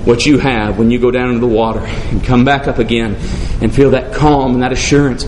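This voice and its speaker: A man preaching a sermon in a fervent manner, building to shouted refrains and easing into stories. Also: a little hiss or hum. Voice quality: says his voice is deep, sings bass. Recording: echoey room with faint ambience.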